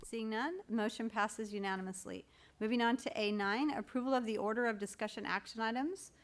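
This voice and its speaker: A woman's voice: fairly high pitch, fairly even intonation, brisk. Formal woman chairing a meeting. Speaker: school board meeting chair. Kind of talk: formal procedural meeting script.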